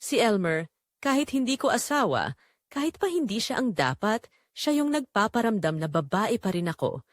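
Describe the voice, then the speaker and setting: Actress as sensual, restless Filipina wife confessing an affair; very animated pitch, dry close sound, audio drama narration. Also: female voice, normal speed, no echo. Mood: sensual, wistful.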